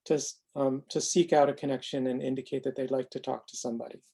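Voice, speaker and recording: A man giving an informal webinar presentation on a video call, explaining things in measured, halting phrases. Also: mid-range voice, little pitch movement, dark tone, husky. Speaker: presenter of a screening tool demo.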